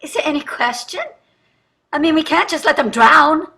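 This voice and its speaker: Casting: actress as anxious, indignant rich wife in a stage drama, slightly high and piercing, emphatic and morally earnest. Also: female voice, normal speed, creaky, pushed and bright, heavily acted. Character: wealthy, anxious, guilt-ridden wife, indignant and combative. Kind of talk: staged play reading, marital argument.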